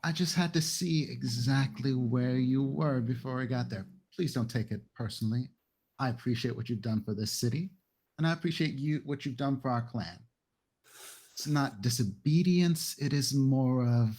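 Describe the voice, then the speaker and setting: A man playing a diplomatic, self-assured vampire businessman in a roleplay game, courteous and deliberate. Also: medium pitch, darkish tone, lightly acted.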